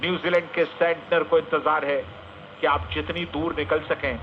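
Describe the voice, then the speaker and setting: A man's voice: a little high, little pitch movement, moderate pace. A man giving emphatic live cricket commentary, repeating phrases for effect. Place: live sports commentary picked up on radio. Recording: noisy narrowband AM radio broadcast.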